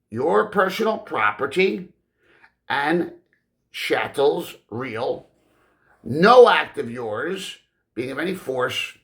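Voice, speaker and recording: A man reads as a condescending Victorian solicitor from an audiobook novel. He is pedantic and formal, very slow and deliberate, with big swoops of pitch and a bright, forceful edge. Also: full character voice. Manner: formal, wordy legalese delivered with weighty pauses.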